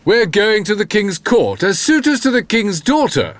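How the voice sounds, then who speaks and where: A man's voice: high-pitched, very animated, normal speed, no echo, pitched above the performer's own voice. A man voicing proud, scornful brothers, mocking and haughty, in a storybook reading. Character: two proud scornful young men, learned and mocking.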